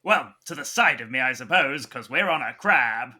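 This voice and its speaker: A man's voice: mid-range voice, expressive, normal speed, sharp tone, breathy edge, exaggerated voice. A man playing an eager, breezy, bumbling hospital medic in an improvised comedy roleplaying game. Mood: eager, flustered.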